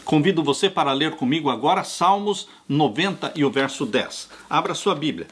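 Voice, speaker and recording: Pastor in his 60s, earnest sermon delivery, husky voice with a lively, rising and falling pitch. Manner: earnest, slow pastoral preaching, warmly addressing listeners as "dear ones". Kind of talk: sermon on a Bible study lesson.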